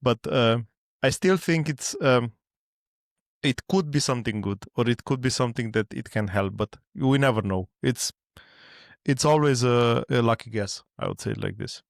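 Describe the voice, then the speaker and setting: Male podcast host from Eastern Europe, mid-pitched, halting and word-searching, dry close sound. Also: gravelly, no room sound. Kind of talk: casual interview chat.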